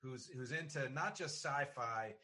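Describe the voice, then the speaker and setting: American guy podcast host, very bright forceful voice, rambly casual chat. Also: medium pitch, measured pace. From South Jersey, United States. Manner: rambling, self-interrupting.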